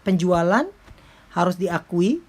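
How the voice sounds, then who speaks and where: A woman with a slightly low voice speaks as a lecturer. She explains things informally and step by step, often adding little check-in tags as she goes. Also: animated, slow. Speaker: accounting lecturer.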